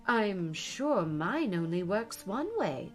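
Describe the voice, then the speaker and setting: A woman's voice: mid-range voice, very animated, takes it slowly, faint music behind. A woman voicing an earnest, puzzled, polite young girl in a storybook, with a questioning manner. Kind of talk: storybook character voice.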